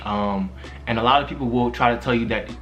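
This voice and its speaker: A man's voice: medium pitch, fairly quick, a bit bright, soft background music, poor audio. Casual man hyping his viewers. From Haitian background. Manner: street slang, hypes the viewers. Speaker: spiritual vlogger. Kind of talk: casual vlog monologue.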